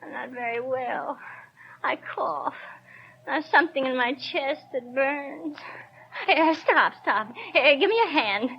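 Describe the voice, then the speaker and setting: High-voiced desperate poor young mother. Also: vocal fry, exaggerated voice. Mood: distraught, pleading. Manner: halting, pleading, stammering. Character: frightened, destitute young mother, ailing and pleading. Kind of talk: 1930s radio drama acting. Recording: dull 1930s radio recording.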